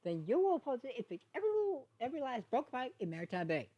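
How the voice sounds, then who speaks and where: A woman's voice: high voice, big pitch swings, fast, muffled recording, voice pushed up. A woman plays a male pony sheriff in a cartoon fan story. He is responsible and easily exasperated. He speaks in a stern, admonishing way, handing out orders. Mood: bossy.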